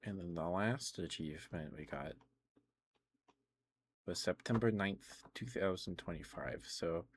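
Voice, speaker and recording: A man with a notably low-pitched voice hosts a casual ASMR livestream. He talks in a loose, meandering way, often circling back to correct himself. The delivery is informal gamer chat, unscripted and conversational. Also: a little slow, long pauses. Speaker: livestream host. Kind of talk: casual rambling ASMR stream chat about video games.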